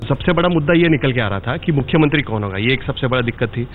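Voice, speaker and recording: A man's voice: medium pitch, animated, faint music behind. Male reporter, tinny field audio. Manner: halting, searching phrasing. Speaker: reporter interviewing. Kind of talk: field interview questions.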